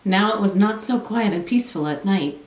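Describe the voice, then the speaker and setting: Woman, children's read-aloud, lowish voice, echoey, distant mic. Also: lively intonation, brisk, a little mellow. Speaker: storybook reader.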